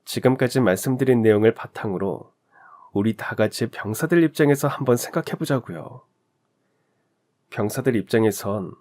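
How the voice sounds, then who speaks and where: Man, young adult, story narrator, conversational, talks to the listener, middle pitch. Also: darkish tone. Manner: conversational, addresses the listener directly.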